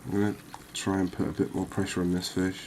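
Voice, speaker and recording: A man giving calm, unhurried, soft-spoken ASMR gameplay commentary, musing aloud as he plays. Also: low voice, slight background noise. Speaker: gaming video host.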